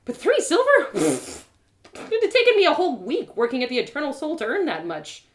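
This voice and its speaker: Expressive woman reading a story aloud. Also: high voice, fairly quick. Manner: expressive, animated narration. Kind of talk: one-woman audio drama reading.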